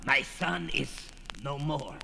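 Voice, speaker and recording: An actor playing a wily, weary old wizard in a fantasy audio drama, with a gravelly, sharp voice and slow theatrical delivery. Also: male voice, mid-range voice, lively intonation, exaggerated voice. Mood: sorrowful, resigned. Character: wily, weary old wizard and father, feeble yet powerful. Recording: reverberant 1970s record with music.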